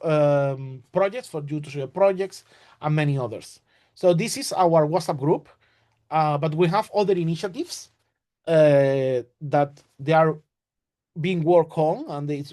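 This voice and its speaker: A man's voice: a little high, a little mellow. A man hosting an online session over a video call, talking casually with many fillers and restarts.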